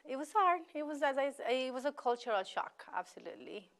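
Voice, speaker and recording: A woman's voice: sits high, sing-song, a little fast, a bit bright. A woman in her twenties from Ecuador, answering interview questions conversationally as a guest. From Ecuador, moved to the US at twelve. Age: 20s. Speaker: interview guest, committee member.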